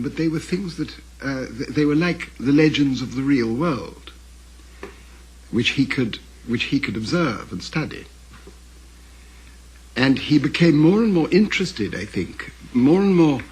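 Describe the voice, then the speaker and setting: Older guy, really husky raspy voice, talks slow n careful, scholarly, stops and restarts while reflecting. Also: mid-range voice, low-quality recording. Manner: halting, deliberate, scholarly, with repeated self-corrections. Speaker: interviewee, author's son recalling his father. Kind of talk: reflective interview commentary.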